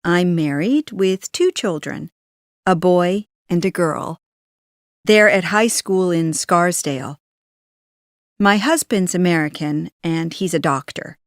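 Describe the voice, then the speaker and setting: Slow woman, careful. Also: fairly low pitch, no room sound, clean studio sound. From Brazil. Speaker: speaker introducing herself. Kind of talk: scripted self-introduction.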